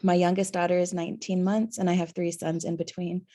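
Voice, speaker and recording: A slightly hoarse woman opening a webinar presentation, talking earnestly with pauses and "um" fillers. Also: on the low side, level tone, mellow.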